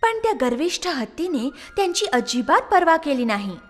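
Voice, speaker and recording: Lively high-voiced woman storyteller. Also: moderate pace, soft background music. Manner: expressive, lilting storytelling. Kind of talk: scripted children's story narration.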